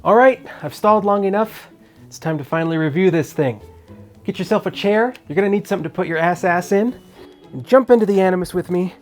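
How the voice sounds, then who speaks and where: A man's voice: high-pitched, brisk. A man hosting a comedic movie review, reading scripted narration in a sarcastic manner. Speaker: host of a movie review show. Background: background music.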